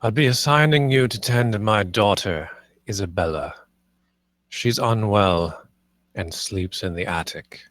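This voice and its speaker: A stern master of a household, acted by a man at a table read. His voice is low and close, with no room around it. He gives orders formally and curtly, expecting obedience. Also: measured pace, a bit bright, no room sound. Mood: coldly instructing. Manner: commanding, clipped, formal. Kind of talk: dramatic script table read.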